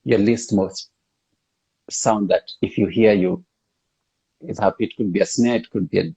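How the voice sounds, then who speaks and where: Husky, low-voiced male interviewer. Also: fairly even intonation, moderate pace, dark tone, low-quality recording. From East and West Africa. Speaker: interviewer, host. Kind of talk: casual conversational interview.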